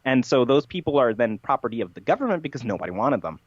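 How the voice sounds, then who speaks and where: A man speaking as a podcast guest, telling a rambling story in a matter-of-fact, halting way with repeated words. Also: medium pitch, sing-song, no echo, muffled recording.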